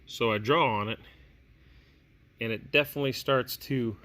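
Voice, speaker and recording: Male product-review host, mid-pitched, conversational, thinks aloud while demonstrating. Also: moderate pace, fairly bright tone. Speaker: host of a tabletop gaming product review channel. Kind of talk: casual hands-on product review.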